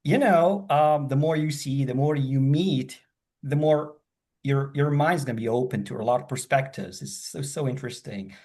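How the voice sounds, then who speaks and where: Middle-aged man, mid-pitched, enthusiastic rambler in a casual video-call interview. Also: moderate pace, darkish tone. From born in Japan, grew up in several countries across Asia and the Middle East. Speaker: student and hospitality professional.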